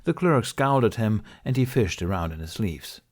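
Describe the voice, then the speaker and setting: A man's voice: on the low side, very animated, moderate pace. A man narrating scripted fiction as an audiobook reader.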